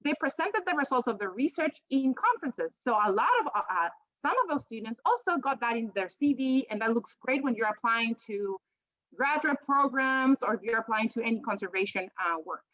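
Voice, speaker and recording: High-pitched Peruvian-accented woman presenting. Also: lively intonation, a little fast, fairly bright tone, no room sound, dull audio. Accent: Peruvian Spanish. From Peru. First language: Spanish. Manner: hesitant, many ums and restarts. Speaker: biology professor. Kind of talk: presentation over slides.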